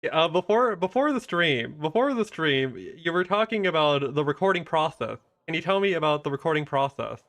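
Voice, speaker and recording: A man with a somewhat high voice hosts a casual streamed interview. He speaks haltingly, circling back and repeating phrases as he puts his questions. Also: sing-song, fairly bright tone. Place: live online stream.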